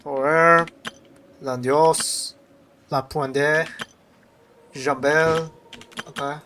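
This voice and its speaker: A man commenting casually and quietly as he streams a game. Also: on the high side, fairly even intonation, drawn-out words, a little hiss or hum. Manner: quiet, subdued.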